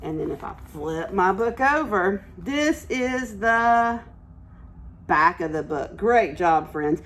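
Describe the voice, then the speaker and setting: Slow-paced woman teaching young children. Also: medium pitch, slight background noise. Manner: encouraging, simple explanations with questions to the listeners. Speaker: early childhood teacher reading aloud. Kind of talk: interactive read-aloud lesson for young children.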